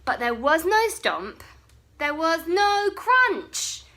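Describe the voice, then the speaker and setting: Slow, high-voiced woman storyteller. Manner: expressive, slow, often hushed to a whisper. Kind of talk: bedtime story read-aloud.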